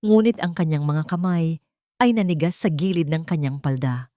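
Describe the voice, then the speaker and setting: Female Filipino audiobook narrator: low register, dramatic storytelling, very dry close sound. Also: normal speed, warm tone, no room sound.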